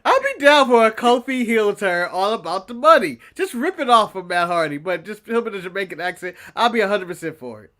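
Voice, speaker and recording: Male co-host, chatty and comedic, bright, sharp-edged voice. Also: sits high, brisk, few pauses. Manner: joking, animated, laughs often. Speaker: podcast co-host. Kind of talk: casual wrestling review chat.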